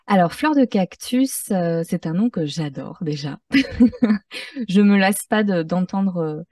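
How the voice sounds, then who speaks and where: A French-Mexican woman answering as an interview guest, reflective and measured, pausing to find her phrasing. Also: medium pitch, expressive, darkish tone, dry recording, clean studio sound, distant mic. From Mexico-born, French-Mexican. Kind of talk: conversational interview.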